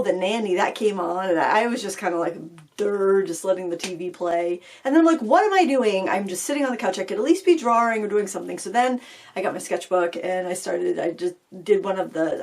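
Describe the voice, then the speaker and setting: A middle-aged woman talks loosely to her viewers from a home studio. Her voice sounds a little raspy, as if she is still getting over an illness. She rambles, stacking fillers and restarting her sentences. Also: mid-range voice. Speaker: craft channel host. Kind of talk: casual chat to camera.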